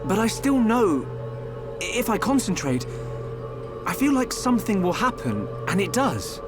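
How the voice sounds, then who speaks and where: Young British male game hero: gentle, earnest, hesitant, fairly high and very varied pitch, music underneath. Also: very animated, unhurried. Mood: troubled. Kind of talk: video game cutscene voice acting.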